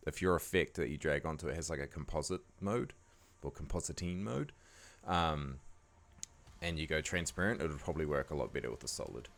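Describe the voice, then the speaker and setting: Croaky, very deep-voiced laid-back man. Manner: laid-back, many "like" and "um" fillers. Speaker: video editing tutor. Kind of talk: casual screen-recording tutorial voice-over.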